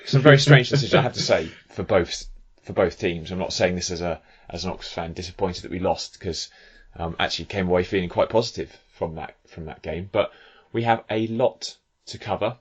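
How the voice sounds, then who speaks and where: A hoarse man hosting a football podcast, talking in an earnest, measured way with frequent fillers. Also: deep voice, muffled recording. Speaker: podcast host. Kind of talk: casual football podcast chat.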